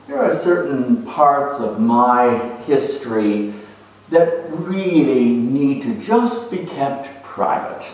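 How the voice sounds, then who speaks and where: A man performing a first-person character monologue in a deliberate, measured, theatrical way with emphatic phrasing. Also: mid-range voice, lively intonation, a little mellow. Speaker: astrologer dramatizing zodiac character types. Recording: echoey room, distant microphone.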